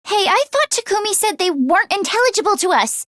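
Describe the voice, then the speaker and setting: A woman voicing an earnest, squeamish teenage schoolgirl mechanic in an anime game, expressive and quick to exclaim. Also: extremely high pitch, no echo, clean studio sound, exaggerated voice. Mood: earnest, explaining.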